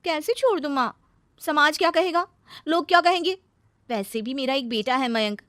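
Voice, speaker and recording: A woman's voice: high-pitched, moderate pace, fairly bright tone, no room sound, clean studio sound, voice pushed up. A woman voicing an anguished, guilt-ridden young wife and mother in a plaintive, emotional story delivery. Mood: distressed.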